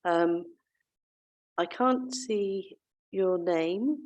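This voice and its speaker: A woman's voice: on the low side, drawn-out words, a little mellow. Hesitant woman moderating a video call. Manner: hesitant, many fillers and restarts. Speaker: breakout session moderator. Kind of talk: online meeting facilitation.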